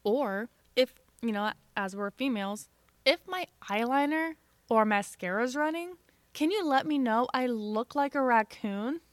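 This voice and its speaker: An easygoing woman co-hosting a podcast, her voice a touch higher than most. The sound is dry and close, with no room around it. She chats casually and agrees along with her partner. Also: lively intonation, measured pace, dry recording. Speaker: podcast co-host. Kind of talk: casual chat.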